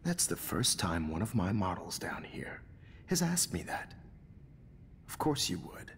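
Casting: middle-aged pretentious game villain, very low voice, animated intonation, sinister and self-satisfied. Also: male voice, whispered. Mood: condescending. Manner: smug, pretentious, measured menace. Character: smug, sinister male photography teacher turned killer. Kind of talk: video game voice acting.

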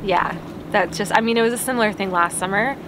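A young woman talks casually and cheerfully to the camera while sitting in a sailboat's cockpit. Her pitch sits in an ordinary middle range. Clear outdoor boat ambience runs underneath. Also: fast talker, noisy background. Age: young adult. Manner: chatty, upbeat, laughs often. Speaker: sailing vlog co-host.

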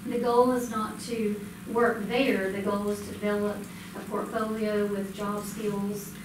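A woman's voice: medium pitch, flat delivery, measured pace. A middle-aged woman giving a casual, um-filled report to a school board. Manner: conversational, with many ums. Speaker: school department presenter. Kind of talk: informal report at a public meeting. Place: school board meeting room. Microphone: distant room mic, standing speaker. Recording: echoey room from far off, noisy.

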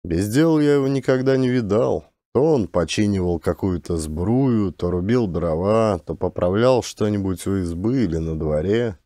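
A man narrating a scripted audiobook in a measured, expressive storytelling manner. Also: lowish voice, no echo, clean studio sound.

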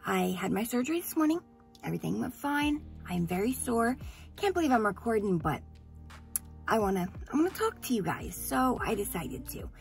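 Hoarse, gravelly chatty woman vlogger. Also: a little high, very animated, moderate pace, soft background music. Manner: chatty, rambling, gushing. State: hoarse after surgery. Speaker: beauty vlogger. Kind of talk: casual chat to camera.

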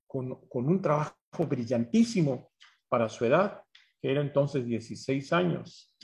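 A man gives a talk recalling his memories, haltingly, searching for words and repeating phrases. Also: medium pitch, vocal fry. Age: 70s. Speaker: speaker at a history symposium. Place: small bright room indoors, on a video call.

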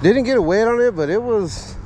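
A man's voice: high-pitched, fairly quick. Direct, easygoing man fishing outdoors. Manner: easygoing, talks to himself and the camera, excited by catches. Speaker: fishing vlogger. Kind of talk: casual running commentary while fishing. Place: outdoors by a park pond. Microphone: chest- or head-mounted action camera. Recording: faint outdoor noise.

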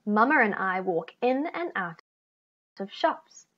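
Slow, lively woman reading a picture book. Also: mid-range voice. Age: middle-aged. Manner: expressive, deliberate phrasing with emphasised contrasting words. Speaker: children's story reader. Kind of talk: read-aloud picture book narration for children. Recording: slightly dull, lo-fi computer recording.

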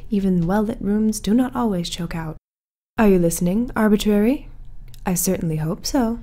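A woman playing an eerie, gracious small-town radio host, reading horror-comedy news in a measured, ominous deadpan. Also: mid-range voice, lightly acted. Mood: ominously calm. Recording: music bed under the voice. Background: music.

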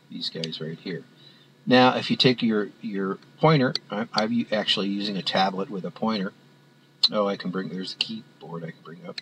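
A man with a somewhat low voice talks through a spreadsheet in a plain screen-recorded tutorial. He speaks haltingly, filling gaps with "uh" and restarting phrases. A faint hiss sits under the recording. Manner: halting, many filler words and restarts. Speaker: instructor. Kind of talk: screen-recorded software walkthrough.

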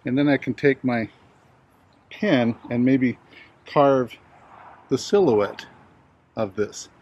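A man's voice: medium pitch, takes it slowly, darkish tone, slightly rough, muffled recording. An older man, around his sixties, chatting casually to camera in a folksy, rambling, easygoing way as he hosts a hobby video.

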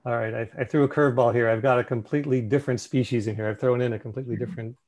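A man's voice: mid-range voice, steady tone, rapid-fire, darkish tone. A man casually presenting a slideshow on a video call. He thinks aloud, with fillers and restarts. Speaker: meeting presenter.

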